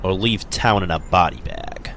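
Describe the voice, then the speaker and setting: A man's voice: sits low, lively intonation, moderate pace, vocal fry, very noisy. An actor playing a cocky male thug who delivers a threat as a messenger. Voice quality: cocky, then panicky.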